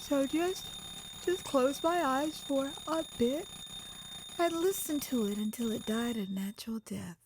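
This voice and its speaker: An American woman narrating her own memoir as a dramatized first-person story, conversational and expressive, acting out moments as she goes. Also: sits high, unhurried, noisy background. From United States. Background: music.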